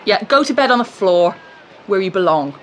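An English actress playing an exasperated, hard-working young wife in a Restoration London radio drama. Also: female voice, mid-range voice, animated, moderate pace, very noisy, lightly acted. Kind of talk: full-cast radio period drama.

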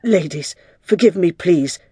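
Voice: mid-range voice, normal speed, warm tone, no room sound, clean studio sound, natural voice. An audiobook narrator voicing a flustered, exasperated male hospital doctor with a formal way of speaking. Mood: flustered, pleading. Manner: formal, raises voice to plead for order.

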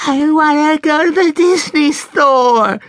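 Guy doing a whiny little kid girl in a story, super high and chimey, pleading, wont give in. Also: slow, fairly bright tone, dry recording, exaggerated voice. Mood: whiny, insistent. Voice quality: very high, chiming child voice. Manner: pleading, stubborn. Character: whiny, insistent six-year-old little girl.

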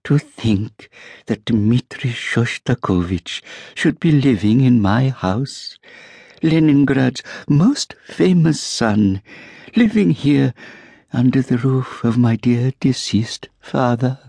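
A man voicing a fussy, genteel old woman landlady who pries sweetly, with drawn-out sing-song lines. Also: mid-range voice, animated, creaky, mellow, slightly rough, dry recording, pitched above the performer's own voice, exaggerated voice. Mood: flattering. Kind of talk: audiobook character voice.

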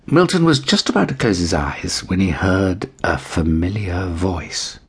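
Male narrator for children's bedtime tales: lowish register, husky, expressive delivery. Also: a little slow. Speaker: audiobook narrator.

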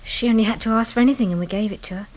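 A distraught, protective mother played by an actress speaks in a fairly high voice. She is tearful and halting, pleading anxiously in a radio crime drama. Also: a little mellow, a little hiss or hum, close to an everyday voice, poor audio. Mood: anxious, defensive. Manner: hesitant, emotional, breathless pleading.

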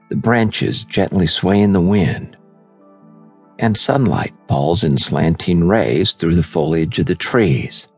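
A man narrating a scripted guided meditation in a soothing, measured, unhurried manner. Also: low voice, creaky, darkish tone, slightly rough. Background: background music.

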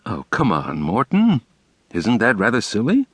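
Calm, wryly amused rabbi in a close, dry voice, read by a male narrator. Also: on the low side, measured pace, slightly rough, no echo, voice pushed up, full character voice. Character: calm, wry man, a rabbi.

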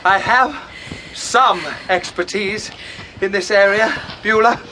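A man's voice: sits high, slow pace, background noise. An actor playing a pompous, self-important amateur theatre director in a comic radio drama, grandly enunciating his words. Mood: grandiose.

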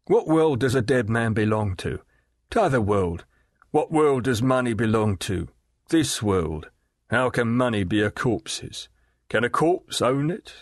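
A man's voice: mid-range voice, no room sound, clean studio sound, lightly acted. A man voicing a surly, blunt older boatman who is a father.

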